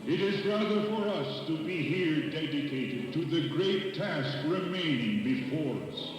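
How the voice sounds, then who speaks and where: A solemn 19th-century statesman delivers a dramatized oration in a fairly high male voice. He is stately and very unhurried, heard from a distance in a long, reverberant hall over music. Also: animated. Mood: earnest, resolute. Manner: measured, declamatory, stately. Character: Abraham Lincoln, solemn statesman delivering a battlefield cemetery dedication.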